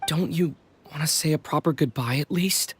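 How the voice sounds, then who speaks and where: A woman voicing a quiet, earnest young female traveler in a game, asking gentle questions. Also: low-pitched, moderate pace, slight background noise, lightly acted.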